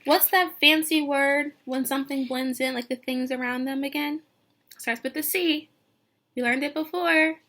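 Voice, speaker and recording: A woman with a very high, bright voice reads aloud as a teacher to young children. Her delivery is animated and instructive, and she often turns to her listeners with questions. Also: normal speed. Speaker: teacher reading a picture book to children.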